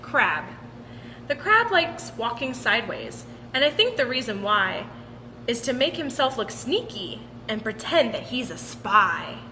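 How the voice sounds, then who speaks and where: Lady doing storytime for kids, really lively up and down voice, reverby room w some noise. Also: a little high, a little slow. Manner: animated, sing-song storytelling. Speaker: children's storytime reader. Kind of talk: expressive picture-book read-aloud for children. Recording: roomy long reverb with background noise.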